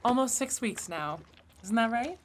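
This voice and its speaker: A woman's voice: on the high side, lively intonation, moderate pace, close to an everyday voice. A woman playing a doting wife in a radio comedy drama, cooing like a mother and defensively scolding her husband. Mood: cheerfully defensive. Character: doting, scolding motherly wife fussing over adopted creature.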